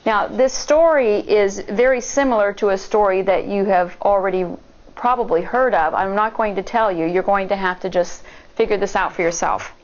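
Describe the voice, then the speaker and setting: A woman teacher reading a picture book aloud to children in a deliberate, explanatory way. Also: mid-range voice, muffled recording, a little hiss or hum. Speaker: elementary school teacher, story reader. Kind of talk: storybook read-aloud with asides to children.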